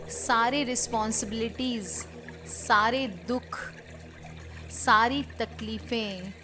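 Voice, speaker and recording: An Indian woman leading a spiritual healing guided meditation in measured short phrases with pauses between them. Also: a little high. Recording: clear background noise of trickling water.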